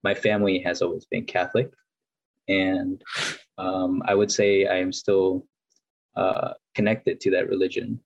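Low-voiced young man from Peru. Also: little pitch movement, unhurried, gravelly, no room sound, muffled recording. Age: 20s. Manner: hesitant, many fillers and restarts. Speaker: guest. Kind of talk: casual interview answers.

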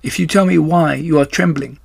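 Man doing a tiny, high, eager young female spider. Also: steady tone, brisk, gravelly, husky, dry recording, exaggerated voice. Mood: eager, friendly. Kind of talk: children's storybook read-aloud.

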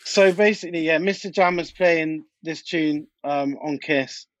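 A man's voice: fairly high pitch, a little slow, soft background music. A man speaking as an interview guest in a casual, informal, slangy way. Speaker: DJ and music producer, interview guest.

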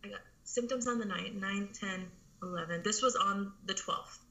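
A woman's voice: mid-range voice, normal speed, bright, forceful tone, low-quality recording. A woman in her thirties, an interview guest telling her story in a casual, rambling way with lots of fillers and restarts. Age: 30s.

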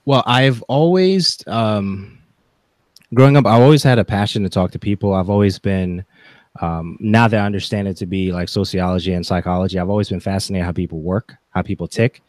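A man in his forties talking reflectively as a podcast guest, with halting, deliberate phrasing. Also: low voice, a little mellow, no room sound, studio quality. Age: 40s. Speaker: podcast guest, gaming content creator. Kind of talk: reflective interview talk.

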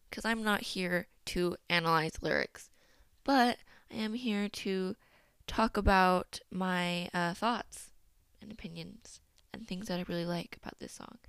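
A woman's voice: medium pitch, a bit bright, smooth, no room sound. A young woman hosting a casual solo podcast, chatty and upbeat, laughing often and using fillers. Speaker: podcast host. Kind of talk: casual solo podcast chat about a song.

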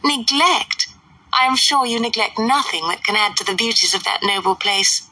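A snobbish young society lady from a classic storybook, as a woman reader puts her on. She is arch and mocking, pitched high with swooping emphasis, in a sharp, bright voice. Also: normal speed, husky, dry recording, distant mic, rough recording. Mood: gushing, flattering.

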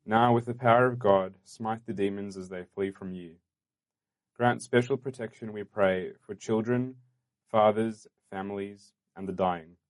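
Earnest low-voiced male podcast host. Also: steady tone. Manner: earnest, measured and welcoming, with fillers. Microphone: studio microphone.

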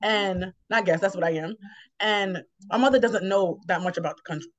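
A woman's voice: mid-range voice, normal speed, no room sound. A woman chatting reflectively as host, explaining things in a rambling, encouraging way. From New York, United States. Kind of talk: casual reflective conversation. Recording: slightly dull, lo-fi, dry sound.

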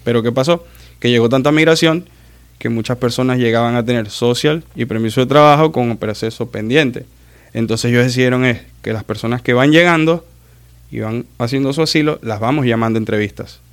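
Smooth-voiced man telling stories. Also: mid-range voice. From Maracaibo, Venezuela. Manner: chatty storyteller, quick self-corrections. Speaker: guest, muralist. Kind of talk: casual interview chat.

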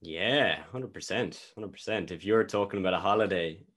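Deep-voiced man hosting an interview. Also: normal speed, slightly rough. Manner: relaxed, repeats words and fillers. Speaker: host. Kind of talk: casual interview chat.

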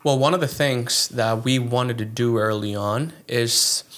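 An earnest young man from Chile talking about his life as a pastor in a relaxed interview. His voice sits in an ordinary middle pitch, and he circles back and restarts his sentences as he thinks aloud. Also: unhurried. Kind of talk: casual interview chat.

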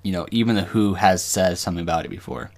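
Low-voiced man, halting and rambling. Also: gravelly, darkish tone. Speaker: podcast co-host. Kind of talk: casual discussion with some reading aloud from notes.

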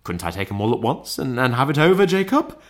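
A man's voice: low-pitched, animated, a little fast, fairly bright tone. A man voicing a fearful, humbled old businessman in a storybook, speaking in a pleading, faltering way. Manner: faltering, imploring, deferential. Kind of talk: dramatised classic ghost story.

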